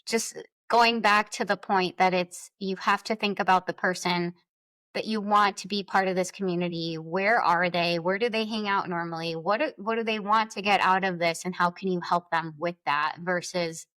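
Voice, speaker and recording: A woman's voice: fairly low pitch, steady tone, normal speed, fairly bright tone, no room sound. A woman speaking as a podcast guest, telling experiences and giving advice in an emphatic, conversational way. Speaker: podcast guest, influencer marketer.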